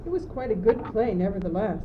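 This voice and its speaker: A woman's voice: medium pitch, fairly quick, lightly acted. A teenage female student actor playing an anxious, naive young courtier in an amateur radio play, with an earnest, stagey delivery. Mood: puzzled. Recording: dull old tape, loud background noise.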